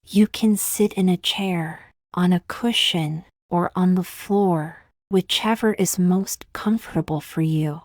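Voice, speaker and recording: A woman gently narrating a guided meditation in a soft, breathy, soothing voice, slowly and with long pauses. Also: fairly low pitch, no echo, clean studio sound.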